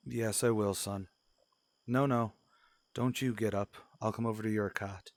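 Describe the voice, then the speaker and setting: A man's voice: fairly low pitch, steady tone, a little slow, no room sound. Man playing a patient, concerned radio-drama father. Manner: gentle, firm, paternal. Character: patient, concerned father talking to his young son. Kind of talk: old-time radio drama acting.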